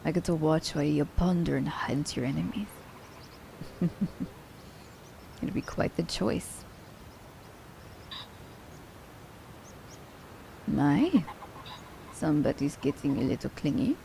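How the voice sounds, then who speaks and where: A woman acting a gravelly, teasing female assassin. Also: low voice, expressive, dark tone, a little hiss or hum, heavily acted. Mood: wry, playful. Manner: playful, teasing, unhurried. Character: teasing, worldly female assassin fond of her werewolf captive. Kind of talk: ASMR roleplay acting.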